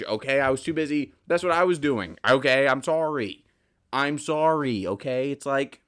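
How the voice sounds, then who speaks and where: A man's voice: mid-range voice, fairly bright tone, clear, resonant tone. Casual, rambling young man, slightly stuffy nose. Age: 20s. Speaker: podcast host. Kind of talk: casual rambling film talk, solo monologue.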